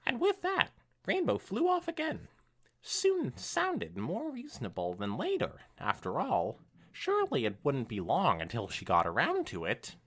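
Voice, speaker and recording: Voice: mid-range voice, wildly swooping pitch, measured pace, slightly rough. A podcast co-host reading fan fiction aloud as narrator, with casual asides. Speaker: podcast co-host and story reader.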